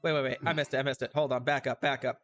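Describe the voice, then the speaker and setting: A man's voice: mid-range voice, steady tone, very fast, a bit bright. A man giving casual livestream commentary on a game, reacting with puzzled asides and repeating his phrases. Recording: music behind the voice.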